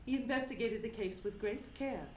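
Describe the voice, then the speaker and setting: Woman playing a frightened high-voiced young gentlewoman. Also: moderate pace, close to an everyday voice. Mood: sombre, earnest. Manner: formal, dramatic storytelling. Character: frightened, grieving young gentlewoman recounting her terror. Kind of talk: live old-time radio drama acting. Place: theatre stage. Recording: echoey theatre stage, noisy live recording.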